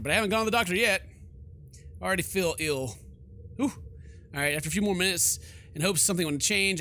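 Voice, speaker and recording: A man's voice: on the high side, bright, forceful tone, slight background noise. A man hosting a let's play, reading game text aloud and commenting with jokey, theatrical reactions. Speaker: gaming video host.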